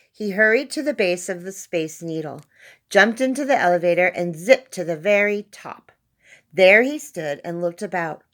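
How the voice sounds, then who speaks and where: A woman's voice: medium pitch, a bit bright. A woman reading a children's story aloud as narrator, expressively and with dramatic emphasis on key words. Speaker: storybook reader.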